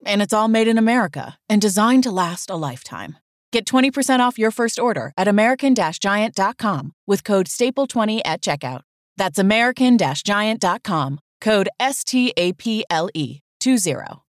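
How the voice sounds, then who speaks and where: A woman delivers a scripted commercial in a mid-pitched voice. The recording is dry and close, with no room around her. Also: expressive, normal speed, dry recording. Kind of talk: scripted advertisement narration.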